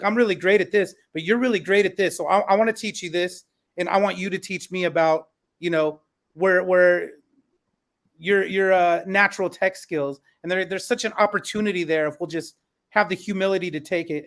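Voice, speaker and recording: A man's voice: high-pitched, a bit bright. A man speaking as an educator and podcast host, moderating a panel in a conversational, effusive and encouraging manner.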